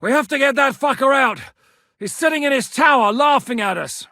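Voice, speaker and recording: An actor playing a hardened, foul-mouthed war captain in a video game, gruff and commanding. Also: male voice, extremely high pitch, animated, moderate pace, sharp tone, dry recording, studio quality, heavily acted. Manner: gruff, curses freely, barks orders. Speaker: military commander.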